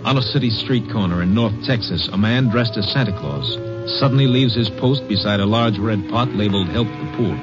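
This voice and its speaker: A man's voice: fairly low pitch, fairly quick. A man with an American accent giving scripted narration for a 1950s radio drama. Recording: muffled old radio broadcast. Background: music.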